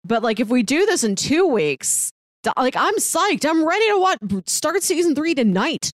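A woman co-hosting a podcast, chatting casually in an expressive, very informal way. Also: high-pitched, normal speed, no room sound. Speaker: podcast co-host.

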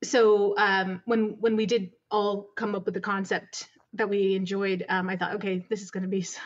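A woman's voice: mid-range voice, level tone, moderate pace. Woman guest on a dull lo-fi recording. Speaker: interview guest, audio drama director. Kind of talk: casual conversational interview.

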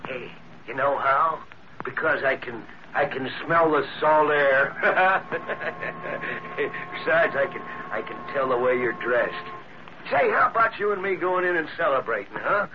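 A man's voice: medium pitch, animated, unhurried, lightly acted. An actor playing a jovial drunk man in a 1940s radio drama, slurring and repeating himself in an over-friendly way. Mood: tipsy, chummy. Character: loud jovial drunk man carousing in a bar. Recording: noisy muffled vintage radio recording.

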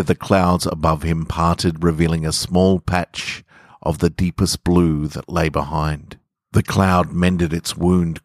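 A man hosting a story podcast, speaking with measured, deliberate phrasing. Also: low voice, no room sound, studio quality. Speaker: podcast host and story reader. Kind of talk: literary story narration and informal sign-off.

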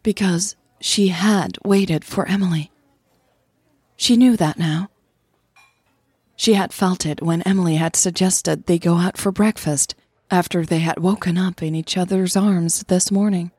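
Husky-voiced woman narrating a story. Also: on the low side, steady tone, unhurried, darkish tone, no room sound. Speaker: narrator of an audio drama series.

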